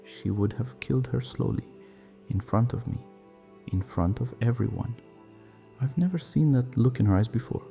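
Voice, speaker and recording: An actor playing a guilt-ridden, grieving vampire in an audio roleplay, speaking in a halting, sorrowful, confessional way. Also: male voice, medium pitch, creaky, dark tone. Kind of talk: dramatic audio roleplay acting.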